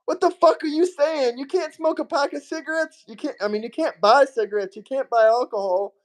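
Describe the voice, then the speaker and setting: A man's voice: extremely high pitch, brisk. A man hosting a live-stream debate, blunt and profane, with a slight lisp, reading viewer messages aloud. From Florida. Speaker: streamer host.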